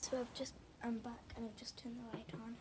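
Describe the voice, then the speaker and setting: A woman chatting casually and haltingly through a hands-on demonstration for viewers, with a hoarse, breathy voice that keeps thinning into a whisper. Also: fairly high pitch, level tone. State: hoarse, losing her voice. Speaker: slime video maker talking to viewers. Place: at home indoors. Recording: noisy amateur home recording.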